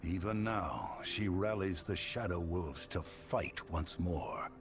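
Low, gravelly grim warrior hero. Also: male voice. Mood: grave, urgent. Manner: grave, measured delivery.

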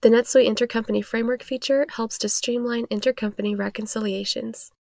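Female tutorial narrator, slightly high voice with some creak, scripted and instructional. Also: steady tone, a little fast, darkish tone, no room sound.

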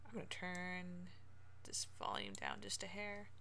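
A woman doing casual let's play commentary as a game streamer, amused and quick to laugh. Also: fairly low pitch, very animated, vocal fry, bright, forceful tone, slight background noise.

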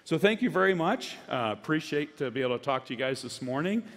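A man's voice: fairly high pitch, animated, fast talker, fairly bright tone. A middle-aged man giving a conference keynote on a large stage, earnest and full of restarts. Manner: earnest, repeats words, many restarts. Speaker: conference speaker. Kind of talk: keynote presentation.

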